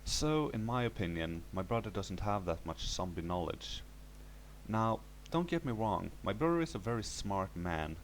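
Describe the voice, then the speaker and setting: Deep voiced guy reading a zombie-type horror story, bit of hum on the recording. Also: lively intonation, normal speed. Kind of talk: scripted first-person story narration.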